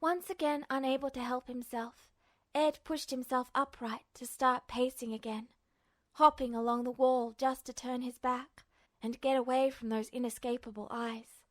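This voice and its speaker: A woman's voice: sits high, steady tone, moderate pace. A woman reading fiction aloud as a dramatic, scripted audiobook narration. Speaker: fan fiction podfic reader.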